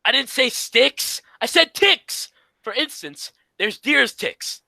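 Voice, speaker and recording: A man's voice: very high-pitched, big pitch swings, slow pace, creaky, bright, forceful tone, no room sound, heavily acted. A man playing the exasperated, scolding straight man of an old radio comedy duo, in a 1940s radio acting style. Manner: scolding, insistent.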